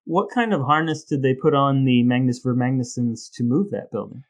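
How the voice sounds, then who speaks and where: A man's voice: medium pitch, moderate pace. A man co-hosting a casual comic podcast, playfully spinning absurd ideas in a matter-of-fact way. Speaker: podcast co-host.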